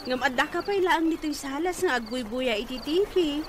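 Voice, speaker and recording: A Filipino actress playing an outspoken, protective young sister-in-law in a radio drama. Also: female voice, high voice, lively intonation, normal speed, noisy background. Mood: concerned.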